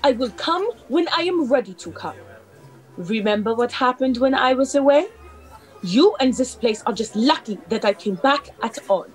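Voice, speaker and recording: Woman playing vain nightclub diva, fairly high voice, dramatic swings, imperious, radio mystery, light music bed. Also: lively intonation, normal speed, lightly acted, low-quality recording. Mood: indignant. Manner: haughty, imperious. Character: haughty, self-centered French nightclub diva. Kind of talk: amateur radio play acting.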